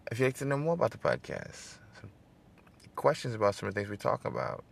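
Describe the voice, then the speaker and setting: A middle-aged man with a smooth voice hosting a political podcast, talking straight to his listeners and building into an emphatic, preacher-like cadence. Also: fairly low pitch, sing-song, quick pace. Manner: addresses listeners directly, seeks call-and-response. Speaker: podcast host. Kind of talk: casual political podcast monologue.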